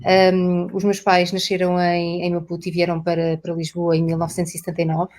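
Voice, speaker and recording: A Portuguese woman with a European Portuguese accent answers questions over a video call. Her voice sits low and stays level, with little rise or fall. She talks haltingly, filling gaps and repeating words as she finds them. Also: completely flat delivery, darkish tone. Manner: hesitant, many fillers and repeated words. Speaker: company CEO, guest. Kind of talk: live online interview.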